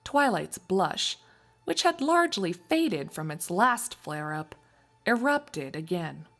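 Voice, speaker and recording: A woman narrates a scripted story in a slightly low voice. She reads at a very unhurried pace, as a storyteller between lines of dialogue. Music plays faintly behind her. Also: sing-song, a bit bright.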